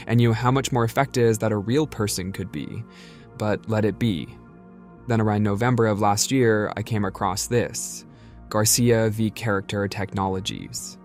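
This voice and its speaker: Guy reading out an email, kinda low voice, plain delivery, soft music under it.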